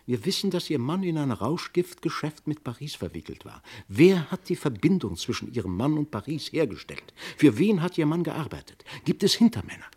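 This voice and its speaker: Pushy shrewd police inspector, husky voice, swings up and down a lot, old radio crime play style. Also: male voice, fairly high pitch, big pitch swings, a little fast, hardly any pauses, a little mellow, full character voice. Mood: probing. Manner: probing, pressing, authoritative. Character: insistent, shrewd male police commissioner interrogating a witness. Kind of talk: German radio crime drama acting.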